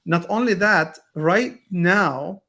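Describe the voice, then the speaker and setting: A male podcast host with a slightly high voice asks his questions earnestly. He often restarts his sentences partway through and then presses on with his point. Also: slow, bright, forceful tone. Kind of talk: interview questions and commentary.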